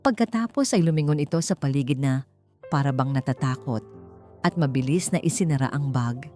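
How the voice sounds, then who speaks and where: A woman narrating an audiobook, reading a scripted story in a dramatic style with deliberate, suspenseful phrasing. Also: a bass voice, animated, darkish tone, soft background music.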